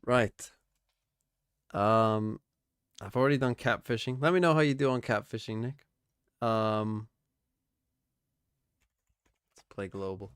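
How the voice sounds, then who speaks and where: A man's voice: on the low side, slow pace, pauses often, fairly bright tone, clear, resonant tone, no echo, studio quality. A man streaming live, chatting casually and muttering to himself as he plays. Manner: muttering to himself while playing.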